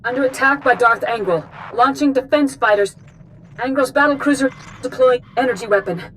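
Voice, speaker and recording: A woman's voice: sits high, normal speed, fairly bright tone, a little hiss or hum, rough recording. An actress playing a tense female officer in a video game, sending an urgent military alert. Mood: alarmed. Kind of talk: scripted video game acting.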